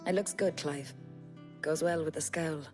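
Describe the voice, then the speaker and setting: A woman playing a brisk, caring healer in a fantasy game, dry, teasing and matter-of-fact. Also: low voice, steady tone, over music. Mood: teasing, fond. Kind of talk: cinematic fantasy game dialogue.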